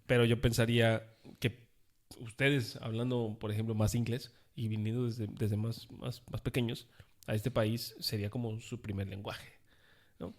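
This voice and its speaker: A man's voice: lowish voice, level tone, moderate pace, darkish tone. A man with a Mexican Spanish accent, co-hosting a casual podcast chat among friends, joking and laughing often. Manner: jokey, laughs often, uses many fillers. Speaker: podcast co-host.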